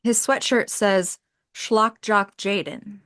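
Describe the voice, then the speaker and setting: A woman's voice: mid-range voice, fairly even intonation, takes it slowly, a bit bright. A woman playing a composed investigator with a dry, understated way of talking.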